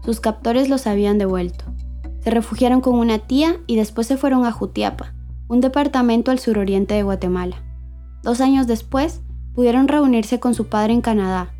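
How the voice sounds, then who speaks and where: Woman narrator, warm mellow tone, solemn scripted documentary read. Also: fairly high pitch, moderate pace, soft background music.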